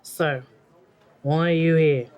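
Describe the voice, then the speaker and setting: Man playing a cocky, higher-voiced young man. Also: steady tone, takes it slowly, a little mellow, clear, resonant tone. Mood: smug, dismissive. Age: young adult. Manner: sarcastic, overconfident. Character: cocky, sarcastic young man, an arrogant occultist. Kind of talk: audio drama acting.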